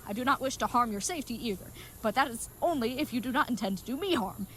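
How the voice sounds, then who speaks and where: A woman's voice: on the high side, expressive, fast talker, slight background noise, lightly acted. A woman playing an earnest, good-hearted but dim-witted knightly leathersmith in a comedic audio drama, speaking in florid, mock-archaic phrases. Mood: defiant, polite.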